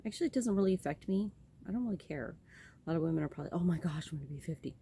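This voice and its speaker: A woman's voice: deep voice, lively intonation, rapid-fire, mellow, slight background noise. A woman in her late forties from California, chatting casually to her camera about her life, rambling with many ums and restarts. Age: 40s. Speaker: vlogger. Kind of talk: casual personal chat to camera.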